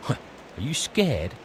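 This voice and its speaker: A man's voice: mid-range voice, huge pitch swings, drawn-out words, slight background noise, full character voice. An actor playing a sarcastic male bard hero in a game, tossing off mocking retorts.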